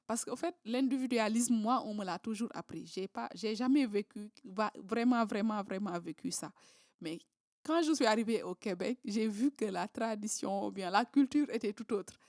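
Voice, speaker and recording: A woman's voice: mid-range voice, moderate pace, darkish tone, no echo. Guest: woman from southern senegal, hesitant, talks with lots of fillers and restarts, dry close sound. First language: Creole, Diola and Wolof. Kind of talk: casual interview conversation.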